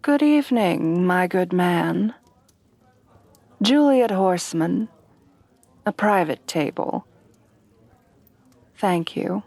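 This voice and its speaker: A woman voicing a refined, devoted headless horsewoman bride in a soft, breathy, courtly ASMR roleplay, often dropping to whispers. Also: fairly low pitch, lively intonation, slow, pauses often, dry recording, full character voice. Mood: polite, courtly. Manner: refined, formal, courtly, tenderly doting.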